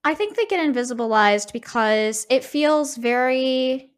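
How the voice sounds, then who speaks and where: A woman's voice: high voice, animated, unhurried. A woman co-hosting a podcast in an analytical, conversational way, often tagging her points with a questioning "right?". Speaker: podcast co-host. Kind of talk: conversational game discussion.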